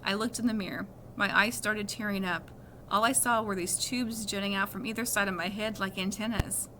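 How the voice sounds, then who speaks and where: A woman's voice: mid-range voice, fairly even intonation, moderate pace, noisy background. A woman reading a children's novel aloud in a storytelling style as a first-person narrator.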